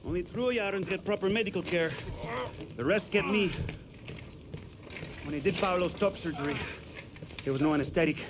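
An actor playing a wry, weary young field medic in a video game, with a sardonic manner. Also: male voice, high-pitched, moderate pace, long pauses, close to an everyday voice. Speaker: doctor. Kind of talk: video game cinematic acting. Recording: noisy ambience behind the voice.

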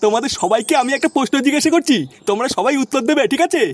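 A man's voice: very high-pitched, very fast, a little hiss or hum. A man voicing an officious cartoon school official, formal in manner. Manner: formal, questioning. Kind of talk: comic cartoon dubbing. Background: music.

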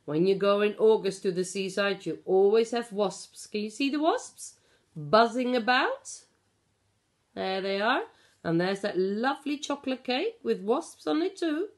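A middle-aged woman reading a picture book aloud to young children, explaining and asking simple questions in an expressive way. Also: mid-range voice, measured pace. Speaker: pre-school storyteller. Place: small living room at home. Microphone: phone or tablet at about arm's length.

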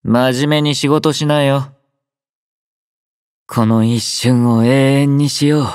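An actor playing a laid-back young male army doctor in a game, speaking in a relaxed, drowsy, gently teasing way. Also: mid-range voice, takes it slowly, clean tone, no room sound, clean studio sound, lightly acted. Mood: easygoing teasing. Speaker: game character voice lines. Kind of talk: game voice collection. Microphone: close studio mic.

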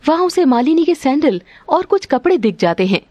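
A woman's voice: high-pitched, very animated, fairly quick, a little mellow, dry recording. A woman narrator reading a scripted story.

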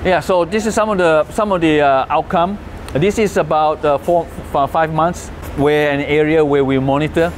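A man's voice: on the high side, fairly even intonation, normal speed, slight background noise. A middle-aged man from Malaysia giving a conservation talk and answering questions, explaining in a hesitant way with frequent restarts. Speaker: conservation speaker. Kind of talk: presentation with audience Q&A.

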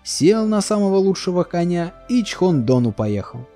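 Expressive male storyteller, lively. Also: high-pitched, moderate pace. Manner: lively, expressive fairy-tale reading. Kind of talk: storybook narration. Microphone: voice-over. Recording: soft music behind.

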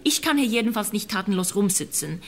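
A woman's voice: mid-range voice, lively intonation, fairly quick, slight background noise, lightly acted. An actress playing a determined, worried female passenger in a German radio crime drama, direct and insistent.

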